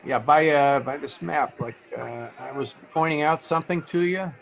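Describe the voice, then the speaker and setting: A man's voice: on the high side, normal speed, gravelly, dull audio. A man playing a sly young American bellhop, hesitant and slangy, in an old-time radio detective drama. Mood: sly, chummy. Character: shifty young male bellhop hustling for tips.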